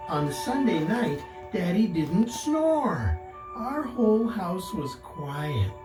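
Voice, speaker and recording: A woman narrating a children's picture book deliberately and expressively, with dramatic pauses. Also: fairly low pitch, very animated. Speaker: guest story reader. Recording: roomy distant voice under loud music. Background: loud instrumental music.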